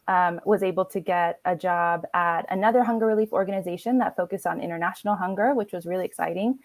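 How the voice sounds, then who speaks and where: A woman's voice: mid-range voice, no echo. A woman in her thirties, a nonprofit program director, telling her story in a friendly, upbeat way with frequent ums. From Philippines, raised in Florida. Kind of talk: casual video-call interview.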